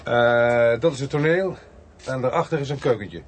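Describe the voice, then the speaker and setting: An actor playing a plain, sceptical police inspector in a radio play, casual and a little hesitant. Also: male voice, medium pitch, moderate pace, lightly acted. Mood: casual, unsure. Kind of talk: Dutch radio play acting. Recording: faintly noisy radio play recording.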